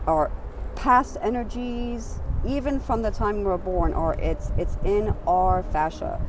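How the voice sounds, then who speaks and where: A woman in her fifties from Romania whose first language is Hungarian, talking earnestly and with animation in a street interview, sometimes repeating words for emphasis. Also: lowish voice. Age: 50s. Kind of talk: street interview, casual talk. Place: outdoors on an urban trail. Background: faint traffic.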